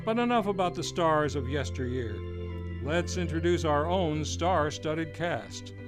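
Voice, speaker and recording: Man, old-time radio host, showman announcer delivery, slightly high voice, music behind. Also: measured pace.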